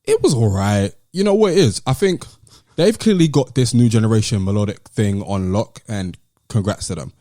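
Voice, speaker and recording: A man's voice: lowish voice, lively intonation, moderate pace, dark tone, dry recording, clean studio sound. A man with a British London accent, hosting a podcast and casually discussing music with friends. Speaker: podcast host.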